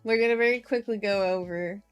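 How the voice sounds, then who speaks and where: A woman's voice: mid-range voice, brisk, clean tone, lo-fi sound, soft background music. A young woman streamer chatting casually in a playful, silly, giggly manner with cutesy slang, like she is hosting a livestream introduction. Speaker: VTuber streamer, channel host.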